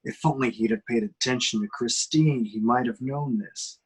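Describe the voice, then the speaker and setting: A man narrates the action lines of a film script at an amateur table reading. His voice is medium in pitch and somewhat husky as he describes each shot. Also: normal speed, low-quality recording. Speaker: reader of stage directions.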